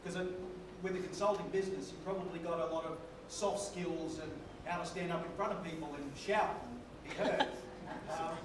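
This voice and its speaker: A man in the audience asking a question, with a loud voice. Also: fairly high pitch, fairly even intonation, normal speed, background noise. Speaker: audience member. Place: conference hall. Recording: distant, very echoey hall with audible ambience.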